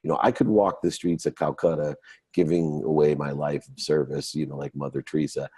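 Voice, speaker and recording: Very deep-voiced man of fifty, motivational speaker. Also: steady tone, fairly quick, dark tone, husky, no echo. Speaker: guest, motivational entrepreneur and speaker. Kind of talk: interview answers, motivational explanation.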